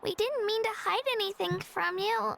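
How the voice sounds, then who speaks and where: A woman voicing a tiny, childlike, excitable fairy girl with a squeaky voice in an anime game. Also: normal speed, slight background noise, exaggerated voice. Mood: sheepish. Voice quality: squeaky, creaky. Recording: music playing behind the voice.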